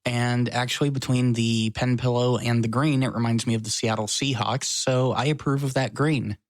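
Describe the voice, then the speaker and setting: A man's voice: mid-range voice, fairly even intonation, normal speed, dry recording. A man co-hosting a podcast, chatting casually with a joking, self-mocking manner. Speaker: podcast co-host. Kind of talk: casual chat. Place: his apartment.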